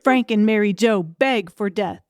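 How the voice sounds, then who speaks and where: A woman's voice: mid-range voice, unhurried, a little mellow. A woman reading scripted, dramatic audiobook narration.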